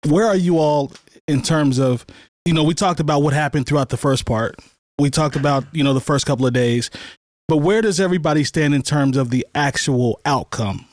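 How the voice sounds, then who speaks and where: A man's voice: on the high side, a little fast, dry recording. A man hosting a podcast chat who steers the talk with questions to the others. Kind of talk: casual chat.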